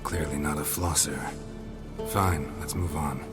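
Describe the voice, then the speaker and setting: An actor playing a gruff, stoic monster hunter in a fantasy game, with a low gravelly voice and terse, deadpan delivery. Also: male voice, a little slow. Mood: wry, calm. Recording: music behind the voice.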